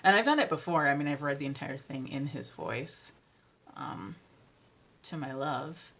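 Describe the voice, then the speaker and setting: A young woman with a deeper voice, chatting casually and self-deprecatingly about narrating audiobooks, full of ums and little laughs. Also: unhurried, a bit bright. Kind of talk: casual chat.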